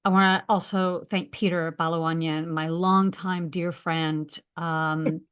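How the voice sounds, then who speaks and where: An American woman with a somewhat low voice speaks in a webinar over a video call. She talks hesitantly, pausing often on filler words. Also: little pitch movement, fairly bright tone, clean tone. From United States. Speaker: university lecturer. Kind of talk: webinar talk.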